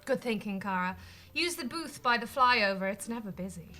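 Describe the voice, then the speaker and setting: A woman's voice: fairly high pitch, animated, normal speed, sharp tone, slight background noise. A woman playing an earnest, pompous, over-dramatic branch manager in an audio sitcom. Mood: brisk, dramatic.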